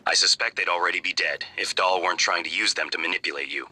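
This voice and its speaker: Fast, precise, deep-voiced advisor. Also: male voice, sharp tone, exaggerated voice. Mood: calm, analytical. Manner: formal, precise. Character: measured, coolly analytical scientist advisor.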